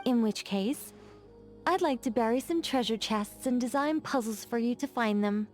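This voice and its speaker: Wry, teasing female mage for a game, chatty, mock-dignified, slightly high voice, played by a woman, faint music underneath. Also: normal speed, darkish tone, close to an everyday voice. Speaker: game character. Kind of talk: game voice lines.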